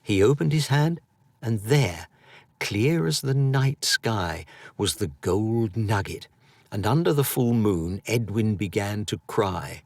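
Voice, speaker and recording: A British man reads a story as an audiobook narrator. His voice is fairly low and very expressive in pitch, with a slow, deliberate pace. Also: no room sound.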